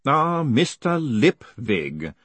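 A man voicing a thin despotic ruler in an audiobook, formal, deliberate and coolly menacing. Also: medium pitch, sing-song, a bit bright, husky, no room sound, pitched above the performer's own voice, full character voice.

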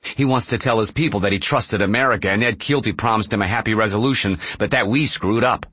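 A man's voice: lowish voice, fast talker, fairly bright tone, slightly rough, no echo, voice pushed up. A man voicing an exasperated, blunt American president in an audiobook thriller.

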